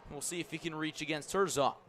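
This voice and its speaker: A man with a somewhat high-pitched voice calls a baseball game live on the radio. His play-by-play comes in crisp, clipped phrases, as a sports announcer delivers it. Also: fairly even intonation, quick pace, a bit bright, slight background noise.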